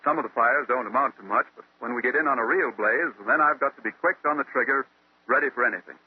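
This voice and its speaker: An actor playing an earnest, hardworking firefighter giving a testimonial in an old radio advertisement. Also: male voice, medium pitch, quick pace, husky, no echo, natural voice. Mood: earnest, weary. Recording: dry, tinny old radio recording.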